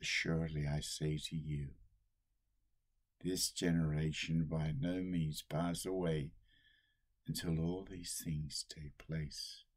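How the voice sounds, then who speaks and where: An older man, a priest, giving a solemn sermon at a slow, deliberate pace, recorded on a webcam in a small room at home. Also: very deep voice, little pitch movement.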